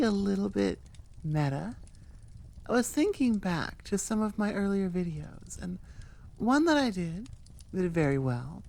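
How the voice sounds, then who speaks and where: A woman's voice: medium pitch, gravelly, a little mellow. A woman who creates audio roleplays, chatting informally and musing aloud in a rambling, self-deprecating way. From born in England, moved to the US. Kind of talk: casual rambling outro chat.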